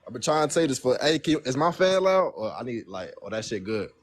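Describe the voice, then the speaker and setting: A man's voice: medium pitch, sing-song, quick pace, slight background noise. A nineteen-year-old man calling in to a debate, talking in casual slang and repeating himself defensively. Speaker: caller.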